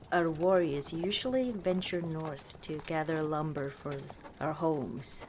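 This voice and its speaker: A woman playing a warm, teasing orc chieftain wife in an unhurried, dryly dismissive ASMR roleplay monologue. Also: sits low, a little hiss or hum. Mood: matter-of-fact.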